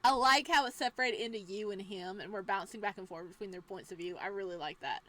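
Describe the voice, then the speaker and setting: A woman reading a visual novel aloud as a let's play host, moving between dramatic narration and casual, expressive reactions. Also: mid-range voice. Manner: expressive, exclamatory asides with laughter between measured reading.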